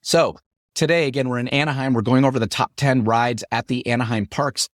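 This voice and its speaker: A middle-aged man hosting his podcast in an upbeat, chatty way, his voice deepened by a lingering cough after an illness. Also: steady tone, brisk, no room sound, clean studio sound. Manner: upbeat, chatty, repetitive phrasing. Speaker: podcast host. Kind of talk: solo podcast talk.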